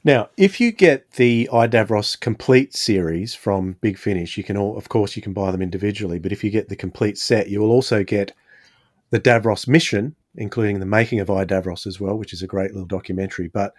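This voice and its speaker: A man with a fairly deep voice hosts a relaxed, informal review podcast. The recording is dry and close, and he talks in a conversational, explanatory style. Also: fairly quick, no room sound. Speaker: podcast host. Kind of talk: casual audio drama review chat.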